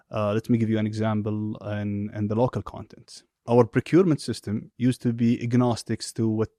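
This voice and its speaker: A middle-aged man answering interview questions in a measured, deliberate way with frequent filler pauses. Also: lowish voice, mellow, dry recording, clean studio sound. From Saudi Arabia. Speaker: interview guest, executive.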